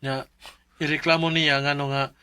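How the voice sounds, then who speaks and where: An actor with a Cebuano Filipino accent playing a polite, hardworking young delivery rider in a radio drama, deferential and pleading. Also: male voice, a little high, flat delivery, normal speed, sharp tone, smooth. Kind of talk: Bisaya radio drama acting.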